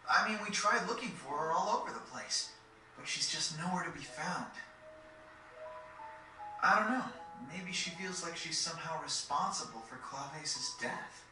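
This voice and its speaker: A man's voice: a little high, expressive, a little slow, music in the background. A man voicing a cocky, plain-spoken teenage boy adventurer in a video game, casual and slangy. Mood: troubled. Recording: very echoey, distant game capture with noise.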